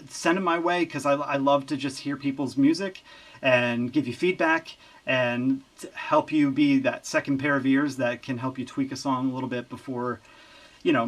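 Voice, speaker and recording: A man who works as a recording engineer, chatting as an interview guest in an easygoing, halting way. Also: medium pitch, a bit bright. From Lehigh Valley, Pennsylvania. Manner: easygoing, halting, with many fillers and restarts. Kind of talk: casual interview chat.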